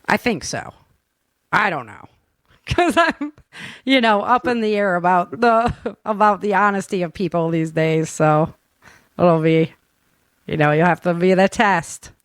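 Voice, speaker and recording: Low-voiced woman, casual podcast host. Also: a little slow, clear, resonant tone, no echo. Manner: animated, informal, laughs often. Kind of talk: casual chat.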